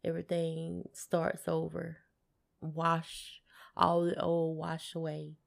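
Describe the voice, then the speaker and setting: A woman with a lowish, gravelly voice. She speaks slowly as a podcast co-host, casual and laid-back, keeping her remarks short. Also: completely flat delivery. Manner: laid-back, brief replies. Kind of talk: casual chat.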